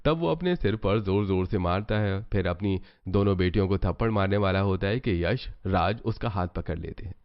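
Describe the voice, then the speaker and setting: A man's voice: low-pitched, normal speed, few pauses, dry recording. A man reading scripted narration for a story as its narrator. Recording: dull sound under loud music.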